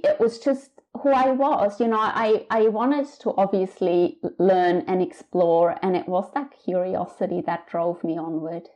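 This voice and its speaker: A woman in her thirties from Switzerland, a book author talking reflectively in an interview with many pauses and fillers. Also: medium pitch, a little mellow, poor audio. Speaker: author guest. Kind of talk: casual interview conversation.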